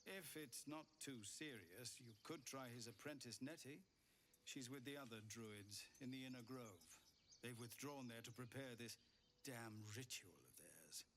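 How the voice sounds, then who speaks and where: An actor playing a weary, earnest leader of refugees in a video game, speaking in a measured, grave, pleading way. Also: male voice, mid-range voice, a little hiss or hum. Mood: concerned, bitter. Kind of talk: dramatic video game dialogue. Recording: loud music behind the voice.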